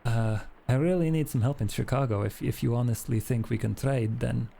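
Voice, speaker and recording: A man playing a creaky-voiced, shady vampire dealmaker. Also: medium pitch, a little fast, mellow, a little hiss or hum, natural voice. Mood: agreeable, wary. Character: shady male vampire drifter, eager, haggling, ex-cult wheeler-dealer.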